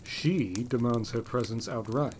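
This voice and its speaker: An actor playing an imperious, abrupt gentleman of a gothic manor in a period audio drama, firing probing questions. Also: mid-range voice, a little slow, slight background noise, close to an everyday voice, low-quality recording. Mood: brusque, probing. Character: brusque, commanding gentleman master of a gothic manor.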